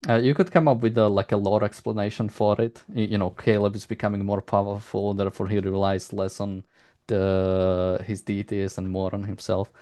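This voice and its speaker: A man conducting a casual interview, asking long, rambling questions in a halting way. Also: lowish voice, flat delivery, mellow.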